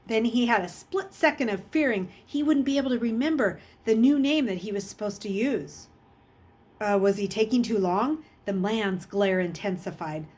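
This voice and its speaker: A woman reading a children's novel aloud as an expressive storyteller, with a husky voice. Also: medium pitch, normal speed. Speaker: audiobook reader.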